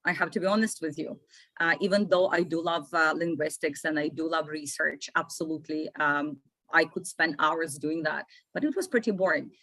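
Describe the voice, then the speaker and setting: A woman's voice: low voice, moderate pace, a bit bright, no echo, poor audio. A woman, an immigration consultant talking casually as a guest and repeating phrases for emphasis. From Ukraine. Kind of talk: casual interview talk.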